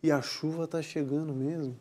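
A middle-aged man from Brazil anchoring a live TV show, chatting in a relaxed way in the studio on a lapel mic. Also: on the high side, moderate pace. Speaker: TV news anchor.

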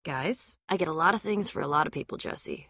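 A woman voicing a tough, sarcastic, self-assured young adventurer and trader in a video game, with an American accent and a wry, brisk delivery. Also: lowish voice, expressive, a bit bright, no room sound, clean studio sound. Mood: confident, wry. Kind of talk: animated adventure game dialogue.

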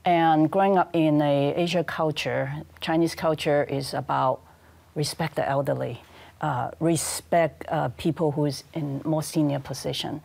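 A woman in her fifties with a Hong Kong accent speaks on a panel in a studio, picked up by a lapel mic. Her voice sits on the lower side for a woman. She talks in a measured, thoughtful way and leans on the key words. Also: fairly even intonation, creaky, darkish tone. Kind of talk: panel discussion.

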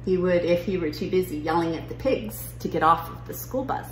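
A woman's voice: lowish voice, fairly quick, natural voice. Woman playing little schoolgirl, nonchalant, matter-of-fact, picture-book dialogue, roomy, light background noise. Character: nonchalant young schoolgirl recounting a farm trip. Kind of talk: children's picture book read-aloud. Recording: roomy living room with noise.

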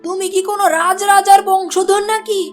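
A woman voicing a high, sharp-tongued nagging wife. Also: flat delivery, normal speed, faint music behind. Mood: scolding. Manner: scornful, scolding. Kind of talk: cartoon voice acting.

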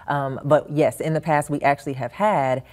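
A woman's voice: deep voice, steady tone, moderate pace, a little mellow. A woman in her thirties giving informative answers as a guest spokeswoman in a TV studio interview. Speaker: arts center spokeswoman, guest. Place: small indoor TV studio. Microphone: lapel mic.

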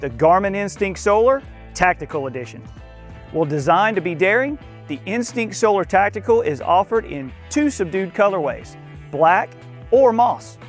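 A man's voice: sits high, animated, normal speed, soft background music. A man in his forties, speaking outdoors to camera as a company spokesperson, presenting a product in an earnest, scripted way. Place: outdoors in a green field.

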